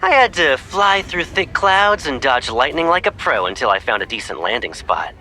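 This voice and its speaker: A man's voice: mid-range voice, sing-song, normal speed, pushed and bright, a little hiss or hum, poor audio. An actor voicing a cocky, playful young male pilot who teases his childhood friend, in video game style. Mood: boastful storytelling. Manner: playful, teasing, boastful. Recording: background music under the voice. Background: music.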